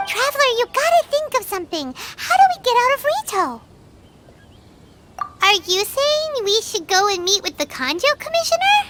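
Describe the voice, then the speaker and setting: A woman voicing a small, childlike female fairy companion in a video game, with a squeaky, exclamatory delivery. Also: very noisy. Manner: speaks of self in third person, exclamatory. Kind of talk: video game character acting.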